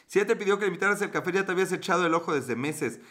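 A man's voice: high voice, expressive, racing, a bit bright. A young Mexican man hosting a livestream, telling a rambling, slangy story and answering chat, laughing often. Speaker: livestream host. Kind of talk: casual storytelling to live chat.

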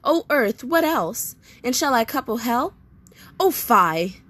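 A woman playing an anguished, vengeful young prince in a declamatory Shakespearean stage monologue with dramatic pauses. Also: fairly high pitch, sing-song, a bit bright, heavily acted. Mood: anguished, appalled. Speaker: performer rehearsing a soliloquy. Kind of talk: theatrical Shakespearean monologue, repeated takes.

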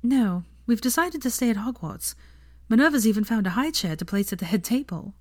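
A woman reading an audiobook voices a pregnant young woman, prim and earnest, with a soft, lilting delivery. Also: a little high, animated, brisk, no room sound, clean studio sound, pitched above the performer's own voice. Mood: prim, light. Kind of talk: acted audiobook dialogue.

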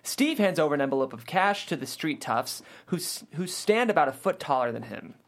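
Lively, animated stage-direction narrator. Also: mid-range voice, brisk. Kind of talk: scripted narration at a comedy table read.

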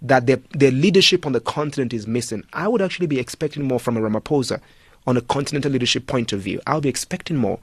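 An emphatic man talks as a guest on a radio call-in show. He drives his points home with repetition and pointed rhetorical questions. His voice sits at a middle pitch, heard close and dry. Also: quick pace, slightly rough, no room sound. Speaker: studio guest, political commentator. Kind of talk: radio talk show interview.

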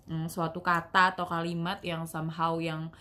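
A young woman with a Betawi-tinged Jakarta accent, co-hosting a casual podcast chat, lively and slangy, often laughing and dramatizing. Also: lowish voice, moderate pace. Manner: animated, laughing, dramatic self-pity, slangy code-mixing. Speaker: podcast co-host.

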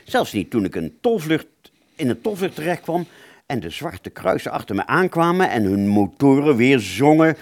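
A man's voice: medium pitch, sing-song, normal speed, a little mellow, dry recording, clean studio sound. A man playing a rambling, agitated wartime fighter pilot who relives battle in a stammering, dramatic radio play monologue. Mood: tense, fading.

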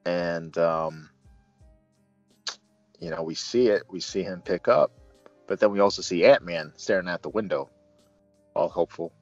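Low-voiced man in roomy, muffled recording. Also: expressive, measured pace, vocal fry, pauses often, darkish tone. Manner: chuckling, conversational, playful asides. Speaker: movie review host. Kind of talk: casual film discussion, recapping scenes. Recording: roomy, dull sound with music.

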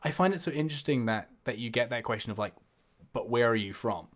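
This is a man with a New Zealand accent who hosts a relaxed conversation. He asks careful, searching questions and thinks aloud as he phrases them. His voice sits at a middle pitch. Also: fast talker. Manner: thoughtful, asks probing questions. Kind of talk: casual conversation.

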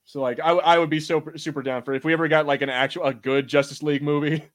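A man's voice: fairly high pitch, fairly even intonation, fast. A man co-hosting a podcast, riffing casually in slangy, sweary watch-party chat. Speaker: podcast co-host.

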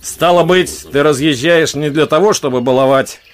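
An actor playing a bold, fair-minded outlaw leader in an audio drama, speaking with deliberate authority. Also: male voice, on the high side, slight background noise, lightly acted. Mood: commanding. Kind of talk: Russian audio drama acting.

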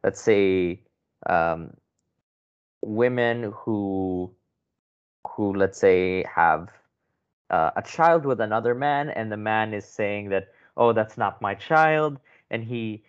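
A man's voice: fairly low pitch, expressive, slow, clear, resonant tone. A man from the Philippines, a podcast guest, talking in a loose conversational way and often checking in with "right?". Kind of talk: podcast interview. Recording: muffled lo-fi audio.

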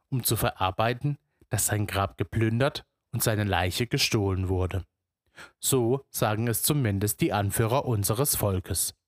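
A man's voice: lowish voice, dry recording, clean studio sound. A man telling a story in the first person, with musing, immersive narration.

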